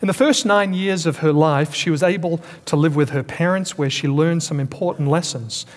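A man preaching a Sunday sermon to a congregation, stressing key words and putting questions to the listeners. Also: on the high side. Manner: preaching with emphatic pauses and calls to the congregation. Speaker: pastor. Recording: long hall reverb.

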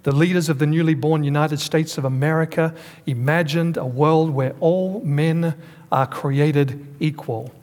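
A man's voice: a little high, steady tone, a little mellow. A man preaching a Sunday sermon to a congregation, stressing key words and putting questions to the listeners. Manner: preaching with emphatic pauses and calls to the congregation. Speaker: pastor. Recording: long hall reverb.

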